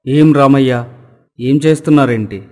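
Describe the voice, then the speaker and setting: A man's voice: medium pitch, moderate pace, dark tone, long reverb tail, natural voice. A man playing a fussy, gluttonous village landlord in a cartoon story, talking in a bossy, demanding way. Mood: demanding, eager.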